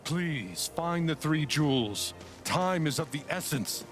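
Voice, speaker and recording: A man's voice: fairly high pitch, expressive, slow pace, over music. An actor playing a solemn man who takes the part of a fantasy king in a video game. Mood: solemn, urgent.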